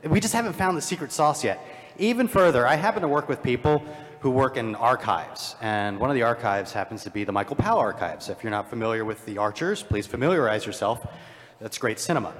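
A man's voice: medium pitch, animated, fairly quick, a bit bright. A man speaking as a panelist at a live convention, telling things in an enthusiastic, theatrical way. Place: large indoor convention room. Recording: echoey hall, live panel.

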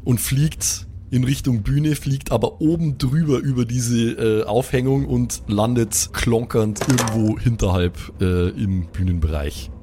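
A man's voice: medium pitch, measured pace, few pauses, dark tone, a little hiss or hum. A man acting as game master, improvising vivid narration with colloquial asides.

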